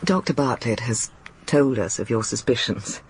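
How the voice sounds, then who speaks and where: A woman's voice: rumbling low, moderate pace, a little hiss or hum. An actress playing a poised, tart middle-aged female academic in a radio drama, cool and direct.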